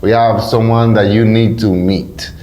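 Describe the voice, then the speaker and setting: A man's voice: on the low side, fairly even intonation, a little slow, warm tone. Playful male radio host, echoey room. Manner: friendly, playful, stumbles over acronyms. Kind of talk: casual interview hosting.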